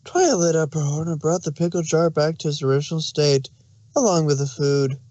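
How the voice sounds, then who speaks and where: Higher-pitched male story narrator, dry sound. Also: a little fast, mellow, dry recording, highs cut off. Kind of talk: fan fiction narration, read aloud.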